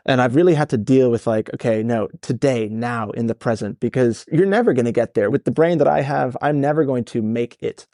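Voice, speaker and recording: Casting note: Dutch-born man, thirties, American accent, dry close mic, chatty and energetic guest. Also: mid-range voice, fairly quick, few pauses, dry recording. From Netherlands, raised in California. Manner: energetic, rambling, swears casually. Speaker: guest, startup founder. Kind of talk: casual podcast interview.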